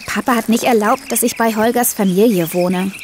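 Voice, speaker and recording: An actress playing a chatty, expressive teenage girl in a German children's audio drama. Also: mid-range voice, normal speed, slight background noise, lightly acted. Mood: frustrated.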